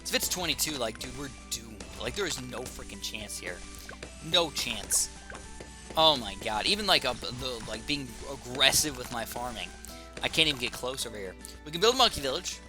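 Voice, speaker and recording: A young man with a slightly raspy, sore throat, chatting excitedly while thinking aloud through commentary on his own gameplay. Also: medium pitch, moderate pace, over music. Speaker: gaming YouTuber.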